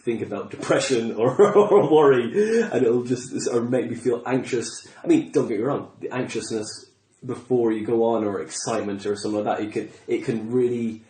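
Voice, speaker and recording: A man hosting a podcast, chatting casually and thinking aloud in a halting way. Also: mid-range voice, a little mellow. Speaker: podcast host. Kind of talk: casual interview chat. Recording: roomy echo, faint background noise.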